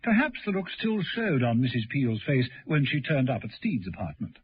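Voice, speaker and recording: A man's voice: medium pitch, very animated, normal speed, slightly rough, no room sound. A man giving dramatic scripted narration for a radio serial. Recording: dull old radio recording.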